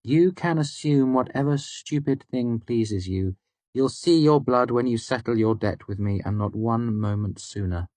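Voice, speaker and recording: A man voicing a fierce, proud young woman moneylender with a sharp, mocking manner. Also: mid-range voice, unhurried, no echo, voice pushed up, lightly acted. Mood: contemptuous. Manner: sharp, mocking, rising to shouts.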